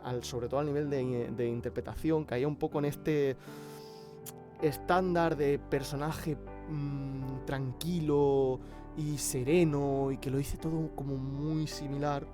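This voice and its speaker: A man with a Castilian Spanish accent and a mellow, slightly high voice. He talks reflectively, searching for words as he goes, with faint music behind him. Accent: Spanish (Spain). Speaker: amateur voice actor. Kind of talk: reflective interview talk.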